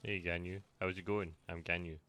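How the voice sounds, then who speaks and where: A man giving playful, halting, joking commentary while playing a video game. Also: low-pitched, little pitch movement, music in the background. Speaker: game streamer.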